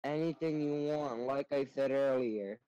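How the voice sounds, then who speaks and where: A man's voice: medium pitch, moderate pace, mellow, smooth, no room sound, highs cut off. A man on voice chat teasing a friend in a dry, sarcastic way. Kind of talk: casual gaming chat.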